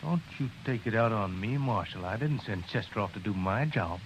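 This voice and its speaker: An actor playing a plain-spoken deputy who talks in a blunt, folksy drawl. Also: male voice, lowish voice, lively intonation, moderate pace. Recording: muffled, hissy 1950s radio broadcast.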